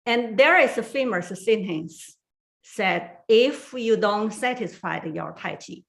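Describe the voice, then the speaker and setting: Woman trainer, unhurried, searching for words, middle pitch. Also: lively intonation, vocal fry. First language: Chinese. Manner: slow, careful, with many fillers and pauses. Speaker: guest tai chi trainer. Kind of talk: online forum talk.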